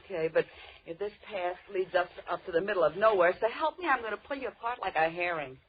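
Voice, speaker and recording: Brash, wisecracking tough young dame. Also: female voice, on the low side, animated, quick pace, breathy edge. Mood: bossy, impatient. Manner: slangy, sarcastic, blunt. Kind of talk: old radio horror drama acting. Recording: muffled, noisy old radio recording.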